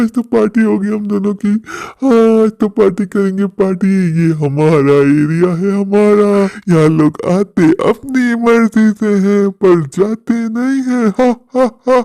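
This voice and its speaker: A man voicing a hungry, man-eating devil in a cartoon horror story, gloating and menacing with slow, taunting repetition. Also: sits high, dark tone, dry recording, clean studio sound, pitched above the performer's own voice. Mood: gleeful.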